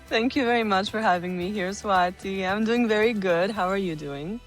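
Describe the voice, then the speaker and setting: A woman's voice: mid-range voice, moderate pace, soft background music. A young woman in her twenties from Italy and the United States, a podcast guest telling her life story in an open, reflective way. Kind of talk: conversational interview.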